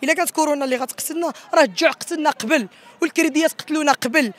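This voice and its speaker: A woman's voice: sits high, very fast. A woman in her thirties with a Moroccan accent giving impassioned, emphatic protest testimony into a handheld microphone outdoors, often repeating herself. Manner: emphatic, repetitive. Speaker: protesting wedding musician. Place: outdoors in a city square. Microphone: handheld reporter microphone.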